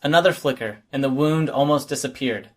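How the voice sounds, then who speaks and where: A man's voice: mid-range voice, a little fast. A man reading scripted first-person fiction as an audiobook narrator.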